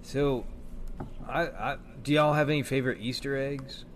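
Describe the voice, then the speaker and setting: A man's voice: mid-range voice, moderate pace. A man in his thirties chatting casually as a podcast host, with faint noise behind him. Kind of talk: casual chat. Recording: faint background room noise.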